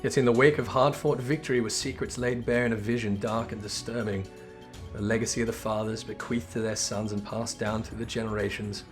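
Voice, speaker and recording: A man's voice: lowish voice, steady tone, fairly quick, soft background music, natural voice. A man playing a solemn storyteller, narrating a fantasy game saga like a storybook. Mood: grave.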